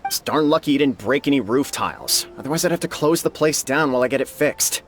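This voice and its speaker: A man's voice: mid-range voice, quick pace, lightly acted. An actor playing an exasperated but reasonable male shop owner in a video game, scolding in a weary, lecturing way. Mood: annoyed. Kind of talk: scripted video game voice acting.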